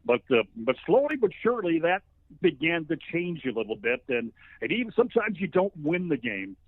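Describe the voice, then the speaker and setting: A man's voice: on the high side, sing-song, a little fast, dry recording. A man in his sixties comes through a thin, phone-like connection with a dry, close sound. He is a sports announcer giving an interview, telling stories in a conversational, folksy and wordy way. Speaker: radio play-by-play sports announcer, interview guest. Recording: narrow phone-like line.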